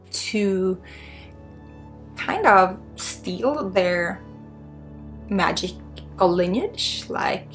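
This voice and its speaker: A woman with a Swedish accent giving unscripted spiritual guidance in an ASMR healing session, earnest and encouraging, with halting phrasing. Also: mid-range voice. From Sweden. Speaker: spiritual guide and energy healer.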